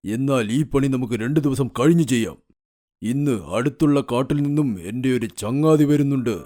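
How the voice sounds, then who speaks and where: A man voicing a proud, authoritative lion king of the jungle in an animated children's story. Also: mid-range voice, a little fast, close to an everyday voice. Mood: warm, pleased. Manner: authoritative, stately. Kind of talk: animated children's fairy tale dialogue. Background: music.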